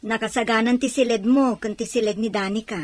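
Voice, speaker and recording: A woman's voice: on the high side, steady tone, fairly quick, no echo, natural voice. A woman playing a welcoming, helpful aunt in an Ilocano audio drama.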